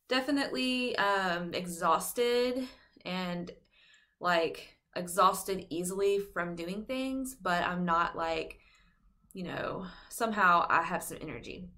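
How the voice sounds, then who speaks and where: A woman's voice: fairly low pitch, a bit bright. A young adult woman, heavily pregnant and a little short of breath, giving a casual, rambling vlog update full of fillers. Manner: chatty, rambling, many fillers and "like". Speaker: vlogger.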